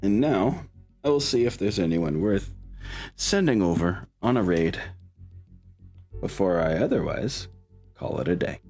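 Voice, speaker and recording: A man's voice: low voice, lively intonation, unhurried, pauses often, a little mellow, soft background music. A man hosting as a game master, chatting casually in an upbeat, informal way with players and viewers. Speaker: game master and stream host.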